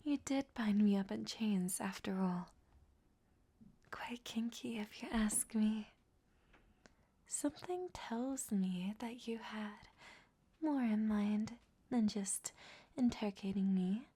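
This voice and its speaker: A woman's voice: mid-range voice, mellow. A woman playing a vain, flirtatious captive vampire in a whispered roleplay audio drama, with a coy, teasing delivery. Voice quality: breathy, whispery.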